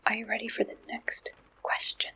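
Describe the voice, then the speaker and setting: A woman whispering an ASMR roleplay as an attentive dating service matchmaker, speaking breathily and deliberately in short phrases. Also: bright, forceful tone, low-quality recording. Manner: slow, deliberate questionnaire-style delivery in short phrases.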